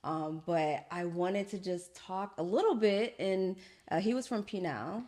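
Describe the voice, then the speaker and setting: A woman hosting a podcast, chatting casually and affirmingly, with lively colloquial phrasing. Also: on the low side, measured pace, a little hiss or hum. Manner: lively, colloquial, laughs often, affirming fillers. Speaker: podcast host. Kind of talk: casual interview chat.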